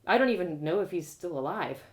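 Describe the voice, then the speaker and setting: A woman's voice: fairly low pitch, lively intonation, fairly quick, close to an everyday voice. A woman voicing an eager, sheltered young girl in a storybook, hesitant, apologetic and rambling. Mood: earnest.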